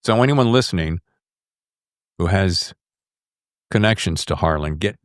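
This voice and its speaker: A man's voice: sits low, lively intonation, measured pace, no room sound, studio quality. A middle-aged American man hosting a podcast in a casual, slangy, effusive way. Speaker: podcast host. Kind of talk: casual podcast interview chat.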